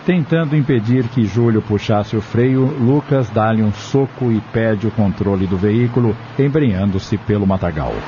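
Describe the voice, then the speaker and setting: A man's voice: lowish voice, measured pace, few pauses, warm tone, slight background noise. A man with a Brazilian accent reading scripted narration for an audio drama. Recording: dull audio with music underneath. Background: music.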